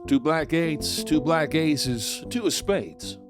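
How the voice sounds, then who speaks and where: Animated, sly Old West outlaw, acted by a man. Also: on the high side, slow pace. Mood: sly, confident. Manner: folksy, conversational, teasing. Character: sly, cocky Old West outlaw narrating his own tale. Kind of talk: first-person storytelling narration in audio drama. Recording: loud music under the voice.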